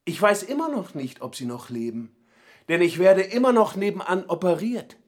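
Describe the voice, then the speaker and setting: A haunted middle-aged knife attacker in a radio play. He is fatalistic, with a husky voice that rises and falls widely. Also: sits high, big pitch swings, moderate pace. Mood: urgent, eerie. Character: haunted 47-year-old man who stabbed his wife, fatalistic. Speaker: actor. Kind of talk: radio drama dialogue.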